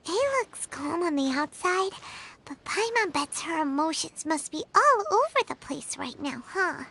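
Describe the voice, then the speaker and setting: A woman's voice: extremely high pitch, sing-song, measured pace, sharp tone, a little hiss or hum, exaggerated voice. A woman voicing a tiny, chatty female fairy companion who talks about herself in the third person, in a video game. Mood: chirpy.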